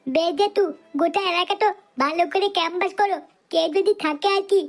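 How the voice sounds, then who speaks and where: Woman voicing a very high-pitched little village boy. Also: a little fast, noisy background. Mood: pleading. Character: earnest naive little village boy, pestering. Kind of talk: comedy cartoon voice acting.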